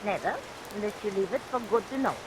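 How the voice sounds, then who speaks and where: A woman's voice: medium pitch, fast talker, close to an everyday voice. A woman playing a haughty, sinister employer in a vintage radio melodrama, speaking with formal, imperious and ominously polite menace. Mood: coolly menacing. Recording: vintage radio recording, steady rain noise.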